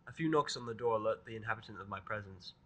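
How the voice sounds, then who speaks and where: A man's voice: fairly low pitch, fast talker. A man narrating a fan fiction story in the first person, in a dry, irritable tone. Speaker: reader.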